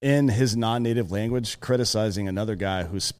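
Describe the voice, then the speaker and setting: A man's voice: fairly low pitch, brisk. A man co-hosting a sports podcast in casual, blunt banter, swearing freely as he talks.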